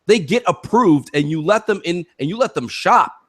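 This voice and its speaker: A man's voice: sits high, expressive, normal speed, fairly bright tone. A man co-hosting a gaming talk show, speaking emphatically in opinionated, rhetorical bursts and often checking in with "okay" and "listen".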